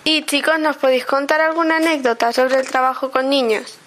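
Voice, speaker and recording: A woman's voice: high-pitched, flat delivery, fairly quick, fairly bright tone. A woman hosting a radio interview, asking short, friendly questions.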